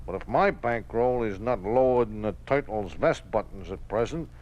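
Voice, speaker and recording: Male actor as loyal underdog racetrack bookmaker, slangy and oddly formal, mid pitch, vintage radio play. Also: lively intonation, normal speed, darkish tone, muffled recording, close to an everyday voice. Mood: wry, guarded. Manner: colorful Runyonesque slang, formal present tense. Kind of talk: 1950s radio drama acting. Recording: vintage 1950s radio recording.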